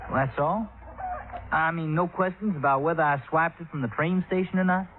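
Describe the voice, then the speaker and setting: A man's voice: fairly high pitch, a little fast, noisy background, close to an everyday voice. Actor as a plainspoken young fisherman. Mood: defensive. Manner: polite, folksy, guarded. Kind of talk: 1950s radio drama acting. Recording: tinny old radio broadcast.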